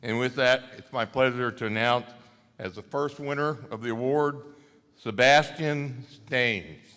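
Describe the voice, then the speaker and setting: A man's voice: medium pitch, measured pace, pushed and bright, clear, resonant tone. An older man at a banquet, giving a folksy, reminiscing speech on a podium microphone before presenting an award. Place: large banquet hall. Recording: reverberant banquet hall.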